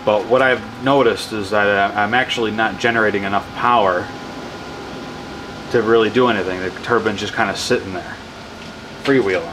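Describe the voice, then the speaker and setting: A man casually explaining his wind turbine setup in a workshop, rambling and correcting himself with excitement. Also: lowish voice, moderate pace. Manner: enthusiastic, rambling, self-correcting. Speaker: hobbyist wind power vlogger. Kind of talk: casual off-the-cuff demo narration.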